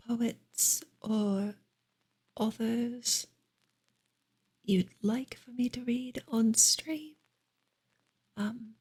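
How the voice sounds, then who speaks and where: Female ASMR host, very breathy whisper, slow and gentle, informal livestream chat. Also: a little high. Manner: soft, unhurried, gentle, halting with long pauses.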